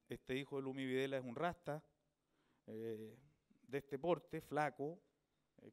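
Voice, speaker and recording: A middle-aged man from Chile with a Chilean accent, an author giving a reflective public talk at a book fair, thoughtful and unhurried as he searches for his words. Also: medium pitch, clear, resonant tone.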